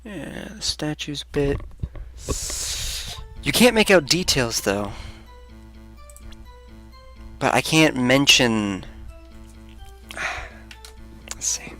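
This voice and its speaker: A man's voice: mid-range voice, big pitch swings, very slow, pauses often, a bit bright, faint music behind. A man giving casual gameplay commentary, muttering and whispering frustrated asides to himself. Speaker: let's-play host.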